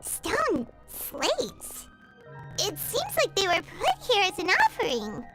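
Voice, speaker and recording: Game casting: tiny naive fairy sidekick, very high squeaky childlike voice, swooping lively delivery, music behind. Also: female voice, takes it slowly, exaggerated voice. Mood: curious. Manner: refers to self in third person, chirpy. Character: small childlike fairy companion girl, excitable and naive. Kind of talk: video game voice acting.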